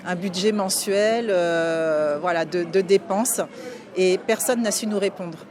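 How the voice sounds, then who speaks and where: A woman's voice: on the low side, unhurried, darkish tone. A woman in her forties from France, speaking hesitantly in a personal interview. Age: 40s. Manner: hesitant, many fillers. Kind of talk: personal testimony. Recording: outdoor street noise.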